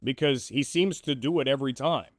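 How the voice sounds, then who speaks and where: Man giving measured sports analysis, dry sound. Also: medium pitch, no echo. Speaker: radio play-by-play sports broadcaster as guest. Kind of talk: conversational hockey analysis.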